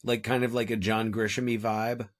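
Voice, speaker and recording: A man co-hosting a podcast, talking casually about movies in a halting way, often restarting his thoughts. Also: on the low side, level tone, dry recording, studio quality. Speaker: podcast co-host.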